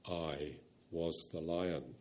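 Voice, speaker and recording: A man reading a storybook voicing a vast, ancient lion that speaks deep, low and slow with calm authority. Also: full character voice. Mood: solemn. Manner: grave, unhurried, gentle authority.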